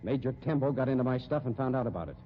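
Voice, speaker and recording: A man's voice: medium pitch, animated, rapid-fire, natural voice. An actor playing a shady, hot-tempered carnival showman with an American accent and slangy, blustering talk in a 1950s radio drama. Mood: defensive. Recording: dull, noisy 1950s radio recording.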